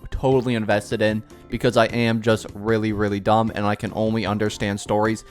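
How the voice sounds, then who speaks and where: A man's voice: fairly low pitch, a little fast. A man hosting a gaming channel, chatting casually and poking fun at himself while he reads through a developer blog. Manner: self-deprecating, chatty, mock-excited cheers. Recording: faint background music.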